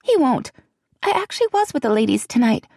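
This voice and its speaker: A woman voicing a frightened young woman who speaks high and breathlessly to her friend. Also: very animated, brisk, vocal fry, darkish tone, no room sound, studio quality, exaggerated voice. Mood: eager.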